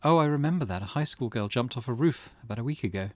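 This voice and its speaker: A man's voice: mid-range voice, lively intonation, fast talker, darkish tone, no echo, lightly acted. A man voicing a weary, grieving middle-aged mother from a visual novel, reading her old-fashioned, wistful thoughts aloud. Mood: shocked.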